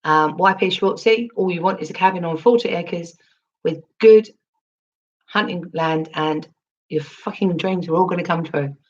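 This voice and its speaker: Female livestream host, low husky voice, chatty and rambling, dull lo-fi mic. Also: normal speed. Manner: chatty, rambling, with frequent ums and restarts. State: recovering from losing her voice. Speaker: crypto livestream host reading chat. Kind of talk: casual livestream chat.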